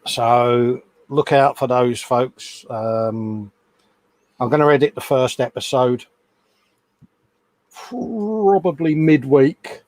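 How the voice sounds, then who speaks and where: A relaxed man of average pitch chats loosely on a live stream. He rambles happily about his builds, drops in a "mate" now and then, and reads out messages from viewers. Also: dry recording, rough recording. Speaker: co-host of a live hobby stream. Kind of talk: casual chat.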